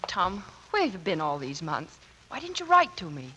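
An actress playing an earnest, loyal young Navy nurse in a 1940s radio drama. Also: female voice, lowish voice, wildly swooping pitch, moderate pace, rough recording. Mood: excited. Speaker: actor.

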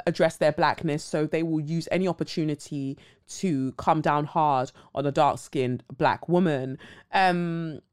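A woman's voice: on the low side, darkish tone. A woman hosting a podcast, talking bluntly and casually, swearing freely, with dramatic asides. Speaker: podcast host. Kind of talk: casual commentary monologue.

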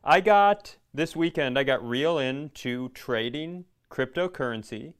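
A man's voice: mid-range voice, lively intonation, measured pace, clear, resonant tone. A man co-hosting a comedy podcast, chatting casually in a self-deprecating, rambling way. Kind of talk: casual comedic banter and announcements.

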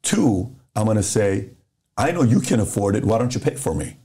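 A man's voice: fairly low pitch, normal speed. A middle-aged man from Iran, a podcast guest, telling stories in casual talk and acting out the dialogue. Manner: animated storyteller who acts out dialogue.

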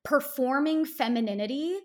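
Outspoken young actress, slightly high voice. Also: female voice, moderate pace. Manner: loud, joking, outspoken. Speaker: guest, actress. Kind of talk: casual interview chat.

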